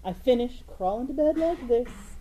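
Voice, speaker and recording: A woman's voice: a little high, animated, normal speed, dark tone, slight background noise, natural voice, rough recording. A woman acting an earnest young inventor in a comic radio play, exasperated and analytical. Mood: flustered, reasoning.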